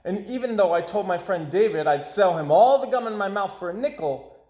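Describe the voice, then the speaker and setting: A man's voice: sits high, lively intonation, fairly quick, a little mellow, voice pushed up. Guy doing a dramatic little kid from a storybook, rueful n emphatic, roomy echo. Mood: earnest, wistful. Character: young boy, dramatic, aggrieved, forever losing his money. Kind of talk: expressive children's storybook read-aloud.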